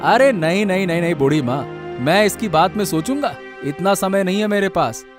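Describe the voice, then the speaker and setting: A man's voice: high-pitched, lively intonation, a little fast, music in the background. A man voicing a loyal, protective village man in a cartoon story, speaking emphatically. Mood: reassuring. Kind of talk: Hindi cartoon story voice acting.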